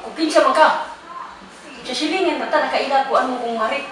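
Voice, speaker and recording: A woman's voice: high voice, brisk. A woman with a Cebuano accent chatting casually, recorded in a room. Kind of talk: casual chat. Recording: echoey, distant, noisy room recording.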